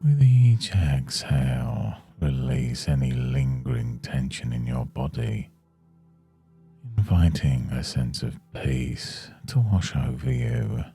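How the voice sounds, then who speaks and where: A man guiding a yoga nidra meditation in a soothing, hushed, unhurried manner. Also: extremely low pitch, very animated, vocal fry, slightly rough, soft background music. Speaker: meditation guide.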